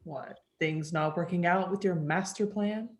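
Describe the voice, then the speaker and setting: A woman's voice: on the low side, a little slow, natural voice. A woman playing a wry, teasing childcare coworker with a dry manner in a dramatic script reading. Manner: dry, sardonic.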